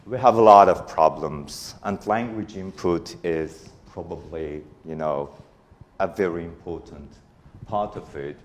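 A man's voice: sits low, unhurried, a little hiss or hum. A man in his forties speaking at a conference in a hall before an audience, hesitant, with many fillers and restarts. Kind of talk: conference talk.

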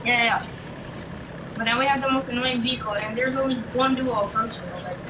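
A woman chatting excitedly with her teammate during a game, her voice strained from screaming. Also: on the high side, fast. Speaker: gaming teammate. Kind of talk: casual gaming chat. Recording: distant mic under loud game noise.

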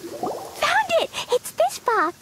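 A woman voicing a naive, excitable little girl in a video game, childlike, cheerful and innocent. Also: very high-pitched, slow, exaggerated voice. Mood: excited. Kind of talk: video game dub acting.

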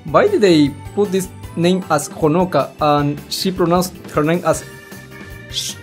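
A man's voice: a little high, a little slow, faint music behind. A man giving casual let's-play commentary, reading lines aloud and rambling, stumbling over words and swearing mildly. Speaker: let's player. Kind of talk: casual reaction commentary while reading a visual novel aloud.

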